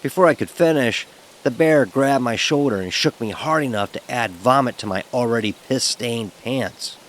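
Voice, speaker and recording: A man's voice: medium pitch, moderate pace, slight background noise, lightly acted. An actor playing a frightened, sarcastic young fraternity pledge who narrates his story in a wry, vivid, emotionally charged way. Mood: wry, uneasy. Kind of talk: first-person dramatic horror audio narration. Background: music.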